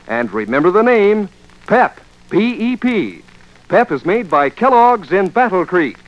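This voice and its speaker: Old radio ad guy talking to kids, folksy and peppy, highish voice, dull lo-fi sound. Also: big pitch swings, measured pace. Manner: chummy, enthusiastic, addressing children. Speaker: commercial announcer. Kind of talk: folksy 1940s radio advertising pitch.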